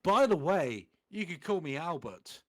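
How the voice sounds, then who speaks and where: A man's voice: fairly high pitch, sing-song, unhurried, pitched above the performer's own voice. Man putting on an eccentric, flamboyant old wizard. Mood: cheerful. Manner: theatrical, exclamatory.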